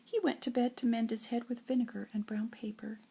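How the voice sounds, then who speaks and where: An older woman with a breathy, husky voice reads nursery rhymes like a grandmother, in an expressive singsong storytelling way. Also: fairly high pitch, brisk. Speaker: grandmother reading to children.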